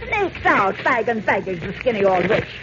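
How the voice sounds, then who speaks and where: A performer playing a nosy, sharp-tongued old landlady, chatty and scolding, in a 1940s-style radio serial. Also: medium pitch, big pitch swings, normal speed, close to an everyday voice. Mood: gossipy, indignant. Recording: muffled noisy old radio broadcast.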